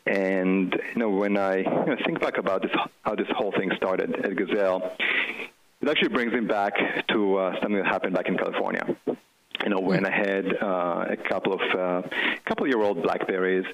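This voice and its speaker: A man's voice: low-pitched, fairly even intonation, a little fast, gravelly, rough recording. A man in his forties with an Israeli accent, a company chief talking as a guest in a casual interview, telling his story with lots of fillers and restarts.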